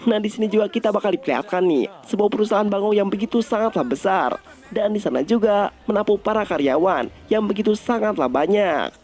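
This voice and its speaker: A man's voice: high voice, animated, a little fast, a little mellow, faint music behind. A man narrates a film recap in a casual, scripted style, addressing viewers directly in colloquial speech. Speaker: movie recap host.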